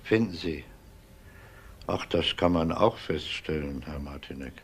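An actor playing a calm, dogged police detective who questions people in a measured, matter-of-fact way in a crime drama. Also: male voice, extremely low pitch, gravelly, breathy edge, full character voice. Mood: measured, probing. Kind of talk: German TV crime drama acting.

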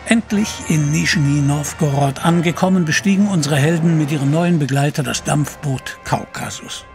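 A man's voice: a little high, normal speed, a little mellow, faint music behind, close to an everyday voice. An actor playing the male narrator of an adventure audio drama. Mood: measured storytelling.

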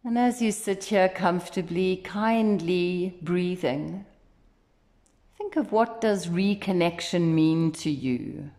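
Woman doing guided meditation, soothing and unhurried, slightly low voice, roomy echo on it. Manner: gentle, soothing, unhurried instruction. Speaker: meditation instructor. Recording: echoey, roomy sound.

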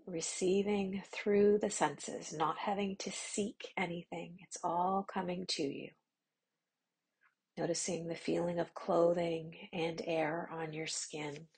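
A woman leads a guided meditation at a very slow, unhurried pace. Her voice is low, husky and a little gravelly, with long pauses and some phrases sinking into a whisper. She keeps her tone flat and even, with little rise and fall. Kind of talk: guided yoga nidra meditation.

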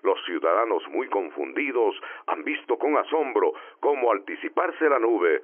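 A man's voice: on the low side, sing-song, normal speed. A man playing a formal, dramatic 1940s radio newsreader announcing an invasion, through an old radio filter. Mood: grave alarm. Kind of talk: 1940s radio news acting. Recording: thin, phone-like old radio sound.

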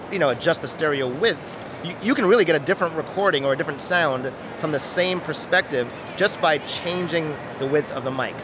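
A man's voice: a little high, background noise. American man vlogging in a busy station. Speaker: product reviewer, vlogger. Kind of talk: casual off-the-cuff vlogging to camera. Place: huge busy train station hall. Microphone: handheld phone rig mic at arm's length. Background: busy station crowd ambience.

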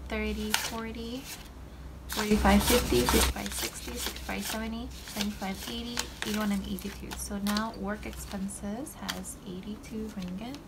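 A woman's voice: mid-range voice, heavy background noise. A young woman from Malaysia hosting a budgeting channel, talking casually to camera while she counts cash at her desk, with lots of ums and likes. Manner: slow, relaxed, with many ums and likes. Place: indoors at a desk. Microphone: overhead camera framing desk and hands.

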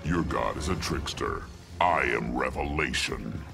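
A man voicing imperious, menacing inhuman villains in a video game, grandiose and gravelly. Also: a bass voice, expressive, unhurried, full character voice. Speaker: game voice actor. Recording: loud music behind.

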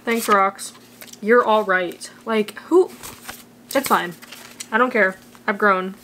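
A woman's voice: medium pitch, takes it slowly, pushed and bright, a little hiss or hum. A young woman vlogging in a chatty, bubbly, rambling way. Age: young adult. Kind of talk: casual vlog chat.

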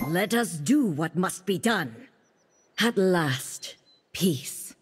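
A woman's voice: fairly low pitch, animated, very slow, slightly rough, heavily acted. A woman voicing an imperious celestial maiden in a video game, speaking formally and with haughty command. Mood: regal, composed. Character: imperious cosmic maiden, celestial keeper of the stars. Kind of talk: video game character voice lines. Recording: long reverb on game voice.

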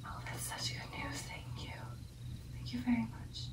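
A young woman playing a tender, powerful vampire girlfriend in an ASMR roleplay, with a soft, breathy, deliberate delivery. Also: recorded from a distance, lightly acted. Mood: pleased, reassuring. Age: young adult. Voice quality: breathy whisper. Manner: soft, intimate, reassuring, deliberate. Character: tender, powerful female vampire girlfriend addressing her coven.

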